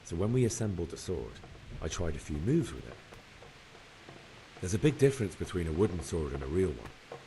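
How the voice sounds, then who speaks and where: An actor playing a young blacksmith's son in a video game, earnestly and sorrowfully recounting a massacre. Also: male voice, deep voice, very animated, normal speed, long pauses, dark tone, a little hiss or hum, poor audio. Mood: rueful recounting. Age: young adult. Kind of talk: dramatic game cutscene acting.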